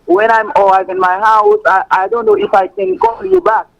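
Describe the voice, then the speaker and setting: A man with a Haitian accent calling a show on a muffled phone line, speaking halting learner English with many repeated words. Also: very high-pitched, very animated, vocal fry, a bit bright. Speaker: caller to an English-practice show. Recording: muffled lo-fi phone call on air.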